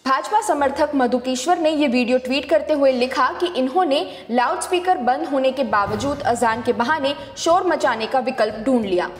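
A woman's voice: sits high, roomy sound, in a large hall. A woman narrating a scripted news explainer as the presenter of a fact-check video.

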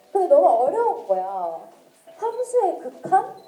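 A woman's voice: very high-pitched, dark tone. A young woman in her twenties, a singer chatting and telling stories to fans at a signing event, giggling often. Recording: echoey event hall.

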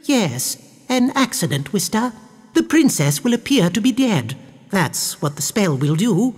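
A man's voice: high voice, big pitch swings, measured pace, breathy edge, big-room sound, pitched above the performer's own voice, heavily acted. A man voicing an imperious, plaintive, scheming baroness in a storybook tale. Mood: scheming, commanding. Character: scheming, melodramatic baroness desperate for an heir. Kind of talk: storybook character voice.

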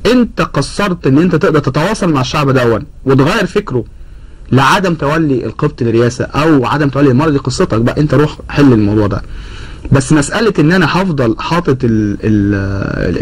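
A man's voice: mid-range voice, expressive, fast, breathy edge, poor audio. A man in his thirties with an Egyptian accent, a studio talk show guest arguing animatedly. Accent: Egyptian Arabic. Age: 30s. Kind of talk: political talk show discussion. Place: TV studio, indoors. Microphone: lapel mic.

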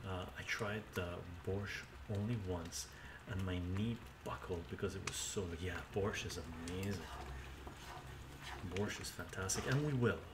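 A man's voice: low voice, a little slow. An older man hosting a cooking live stream in his home kitchen, chatting easily and narrating each step aloud. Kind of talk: casual chat while cooking, reading chat. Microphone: camera mic some distance away. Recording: roomy, distant, noisy kitchen stream audio.

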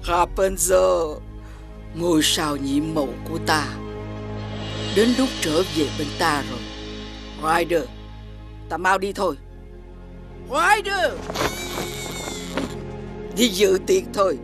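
A woman playing an imperious, scheming old witch in an animated fairy-tale dub. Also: lowish voice, slow, long pauses, heavily acted. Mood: menacing glee. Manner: imperious, commanding. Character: scheming, menacing old witch demanding a child. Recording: music bed under the voice.

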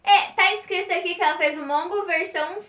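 A woman's voice: extremely high pitch, brisk, fairly bright tone. A young woman chatting casually as co-host of a children's show. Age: young adult. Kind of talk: casual presenting and chat. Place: small decorated indoor studio. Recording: roomy studio echo, faint music behind.

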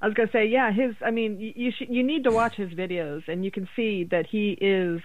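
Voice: medium pitch, fairly even intonation, a little fast, no echo. A podcast co-host in casual chat, agreeing and backing up what is said. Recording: thin phone-like line, dry.

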